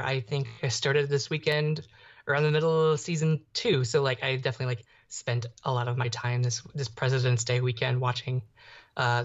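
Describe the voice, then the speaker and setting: Young man, mid-pitched voice, casual rambling podcast co-host, slightly muffled audio. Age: young adult. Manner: rambling, peppered with "like". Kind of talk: casual chat.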